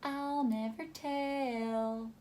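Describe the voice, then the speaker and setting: Woman acting a sugary high-voiced schoolteacher. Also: steady tone, a little mellow, slight background noise. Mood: coy. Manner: sugary, drawn-out. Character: sweet, coy lady schoolteacher with a secret.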